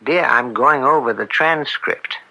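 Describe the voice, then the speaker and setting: A man acting in an old-time radio drama as an upright, conflicted middle-aged American judge, speaking gravely and deliberately with theatrical weight. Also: mid-range voice, sharp tone, exaggerated voice, poor audio. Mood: distracted, paternal. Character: anguished, upright judge and father, bitter and conflicted.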